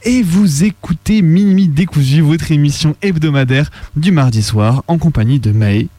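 A man co-hosting a casual live radio show, speaking haltingly as he searches for his words. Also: fairly high pitch, mellow, no room sound. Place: radio studio.

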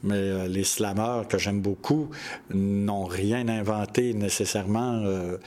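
An older man, a storyteller who talks in a relaxed interview and pauses often with many fillers. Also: lowish voice. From Quebec, Canada. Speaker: storyteller and festival organizer.

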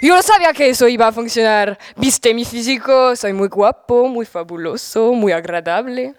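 A teenage woman playing a vain, excitable older knight in a student audio drama, with a pompous, self-admiring manner. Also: a little high, normal speed, slight background noise, natural voice. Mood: boastful.

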